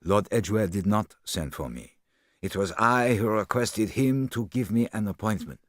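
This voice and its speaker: A man voicing a fastidious older detective in an audiobook, formal and measured. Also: on the low side, animated, no echo, clean studio sound. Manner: formal, measured, quietly self-satisfied. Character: fastidious older male detective, soft-spoken and precise. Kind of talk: audiobook character voice.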